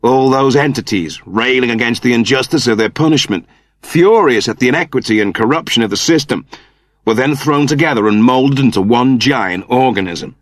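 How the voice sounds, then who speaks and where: A man's voice: mid-range voice, moderate pace, dry recording, pitched above the performer's own voice, natural voice. Rebel leader male narrator acting: grave, formal, commanding, intense, dry studio audiobook delivery. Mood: grave, indignant. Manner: solemn, formal, declamatory.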